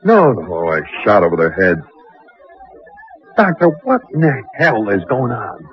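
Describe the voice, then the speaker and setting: A man acting as a wary, gruff armed guard on an expedition in a radio adventure drama. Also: lowish voice, very animated, measured pace. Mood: wary, agitated. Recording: muffled radio recording over clear music.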